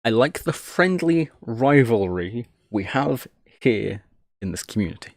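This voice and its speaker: A man's voice: mid-range voice, very animated, a little slow, gravelly, no room sound, studio quality. A man casually thinking aloud on a live tech stream, jokey and self-deprecating, muttering each step as he works.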